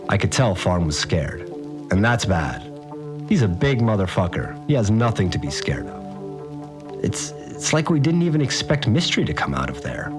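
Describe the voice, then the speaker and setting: Deep rattled survivor dude, slangy sweary audio diary, rambling and animated, music behind, podcast drama. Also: male voice. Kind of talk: casual confessional audio-diary monologue.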